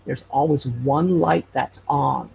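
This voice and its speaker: A man telling personal stories conversationally as a podcast guest, hesitant and often pausing mid-phrase. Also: mid-range voice, gravelly, crowd noise. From Hawaii. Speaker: podcast guest, paranormal storyteller and investigator. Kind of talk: conversational personal storytelling in an interview. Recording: muffled lo-fi with faint background noise.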